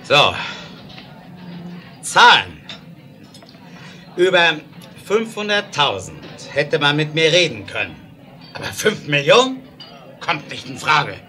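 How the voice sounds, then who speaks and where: An actor playing a hot-tempered, blustering American railroad magnate in a 1970s German radio play, exclamatory and given to flustered stammering. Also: male voice, on the high side, big pitch swings, slow pace, pauses often, sharp tone, faint music behind, heavily acted. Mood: indignant.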